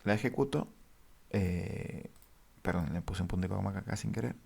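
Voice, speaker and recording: Deep gravelly-voiced man teaching databases. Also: a little mellow. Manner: halting, many fillers, addresses viewers formally. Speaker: database instructor. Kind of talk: screen-share coding lesson.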